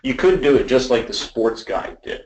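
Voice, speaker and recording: A man giving an informal, explanatory webinar walkthrough as a technical presenter, with hesitant phrasing and pauses. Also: mid-range voice, animated, a little hiss or hum. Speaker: audio system design presenter. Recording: roomy, echoey voice pickup.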